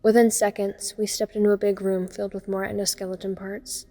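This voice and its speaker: A woman's voice: mid-range voice, level tone, fairly quick, dark tone, natural voice. A woman playing a teenage girl who narrates her horror ordeal in the first person, observant and uneasy. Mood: uneasy, watchful. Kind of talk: first-person dramatic audio story narration.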